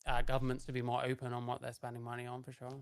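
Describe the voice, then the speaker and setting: A young British man, a podcast guest, talking in a hoarse, laid-back and hesitant way, tired late at night. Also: mid-range voice, completely flat delivery, racing. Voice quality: hoarse, losing voice. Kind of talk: casual chat.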